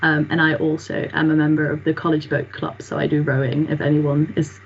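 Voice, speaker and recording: A woman's voice: low-pitched, completely flat delivery, fairly quick, gravelly, a little hiss or hum. A young woman from the Netherlands, a student, chatting with many fillers on a video call from a room at home. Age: young adult. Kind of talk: casual Q&A answers on video call. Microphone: laptop webcam mic on video call. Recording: dull lo-fi video call audio.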